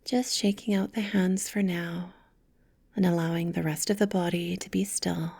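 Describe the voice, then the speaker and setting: A woman leading a guided meditation with soft, gentle, soothing instructions, breathy and often close to a whisper. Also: fairly low pitch, steady tone, normal speed, no echo.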